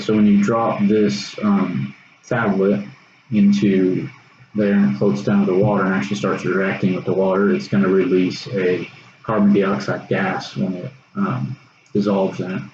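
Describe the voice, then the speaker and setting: A young man with a Texas accent and a lowish, soft-edged voice talks through an informal science demonstration. He explains in a casual, slightly hesitant student way. The recording is dull and echoes around the room. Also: flat delivery, normal speed. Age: young adult. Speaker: student presenter. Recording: roomy echo, dull sound, faint noise.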